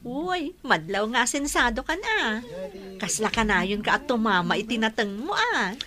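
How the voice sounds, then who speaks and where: A woman's voice: fairly high pitch, sing-song, moderate pace, a crowd in the background. A woman playing a frank, friendly young job seeker in an Ilocano audio drama, candid and outspoken. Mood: friendly, grateful.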